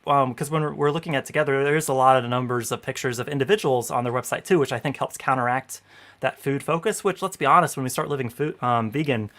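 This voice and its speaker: A man's voice: medium pitch, fast talker, fairly bright tone. A man in his thirties hosting a casual livestream in an upbeat way, with a lot of "you know" fillers, speaking into a headset at home. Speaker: host. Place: home room.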